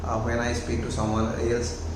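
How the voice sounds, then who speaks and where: A young man from Assam with an Assamese accent, English not his first language, giving halting casual advice to camera on a lapel mic at home, with many restarts and fillers. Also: medium pitch, monotone. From Assam, India. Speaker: YouTube host. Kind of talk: casual talking-head advice. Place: small room at home, indoors. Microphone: clip-on lapel mic. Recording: very echoey room with audible noise.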